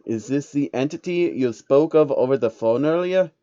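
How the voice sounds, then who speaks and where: A man's voice: medium pitch, lively intonation, normal speed, dark tone, lo-fi sound, natural voice. A man voicing a smug, matter-of-fact laboratory scientist for a story reading. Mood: welcoming. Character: tall, pale, smug male laboratory scientist.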